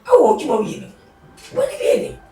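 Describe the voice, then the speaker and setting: A man putting on a shrieking, excitable character who wails, squeals and protests. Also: fairly quick, close to an everyday voice. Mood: shrill protest. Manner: squealing, laughing and whining. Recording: roomy, distant mic with music.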